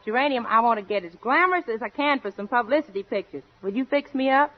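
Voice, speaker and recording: An actress playing a brassy, folksy, ditzy comedy star, exclaiming in 1940s radio comedy style. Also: female voice, fairly high pitch, expressive, fast talker, natural voice. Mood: chatty. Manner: exclaiming, folksy comic delivery. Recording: dull, noisy vintage radio recording, studio audience.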